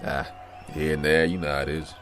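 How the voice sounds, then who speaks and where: An actor playing a weary, washed-up American boxer, gentle but proud and disillusioned, in a radio drama. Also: male voice, a bass voice, level tone, brisk, faint music behind. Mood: gently reassuring.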